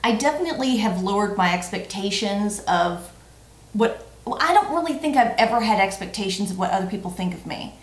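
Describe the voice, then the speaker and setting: A woman's voice: medium pitch, lively intonation, a little fast. A woman in her forties chatting casually as a vlog co-host, playful and emphatic, often repeating her words. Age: 40s. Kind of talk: casual chat, answering tag questions. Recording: roomy, echoey sound.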